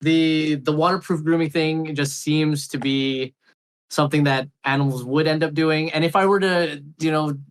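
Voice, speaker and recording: Podcast contestant, halting, lots of ums and uhs, casual. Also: medium pitch, steady tone, rough recording. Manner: hesitant, with many fillers when arguing. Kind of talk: casual comedy podcast chat.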